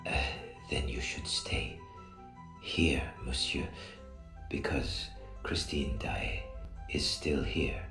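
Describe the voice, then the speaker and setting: Grave secretive persian stranger for a radio play, super low voice, whispery and deliberate, roomy echo. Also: male voice, vocal fry, heavily acted. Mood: hushed, insistent. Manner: hushed, deliberate, formal, often dropping to whispers. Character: mysterious, secretive Persian man, grave and watchful. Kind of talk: dramatic audio drama acting. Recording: echoey, roomy sound with music.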